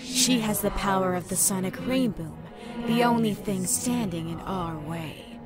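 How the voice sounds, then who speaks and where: A woman playing a slow, mocking demon pony villain. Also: medium pitch, natural voice. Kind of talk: fan audio drama acting. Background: loud dramatic music.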